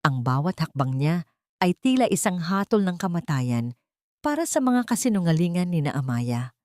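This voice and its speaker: Female narrator, deep register, dry close mic, solemn audiobook delivery. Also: sing-song, moderate pace, darkish tone, no room sound.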